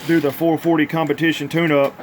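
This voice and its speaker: A man's voice: fairly high pitch, flat delivery, quick pace, slight background noise. A man giving an informal, plainspoken workbench demonstration as a radio repair technician. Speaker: CB radio repair technician presenting his tune-up work.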